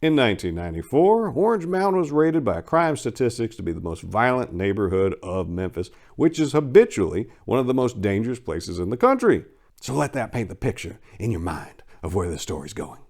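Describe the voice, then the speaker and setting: A man's voice: on the low side, sing-song, a little fast, darkish tone. A man performing as a host and dramatic story reader, with a theatrical, emphatic, wry delivery. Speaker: writer and channel host performing dramatic readings. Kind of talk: dramatic first-person story reading.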